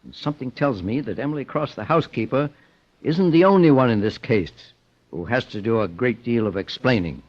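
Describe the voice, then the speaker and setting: A man acts as a composed, authoritative American detective from an old radio serial. His formal, measured lines move with expressive pitch. The recording is dull and vintage. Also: a little hiss or hum, lightly acted. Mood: grave, accusing. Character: famous, composed, authoritative male private investigator. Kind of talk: old-time radio drama acting.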